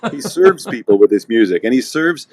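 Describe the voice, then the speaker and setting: A man hosting a casual chat as a concert presenter, encouraging and quick to agree with his guest. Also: medium pitch, expressive, crowd noise. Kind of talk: casual conversational interview.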